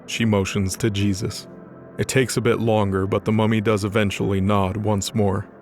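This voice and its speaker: A man's voice: lowish voice, flat delivery, moderate pace, darkish tone, faint music behind. A man giving scripted narration for a dramatized fantasy story.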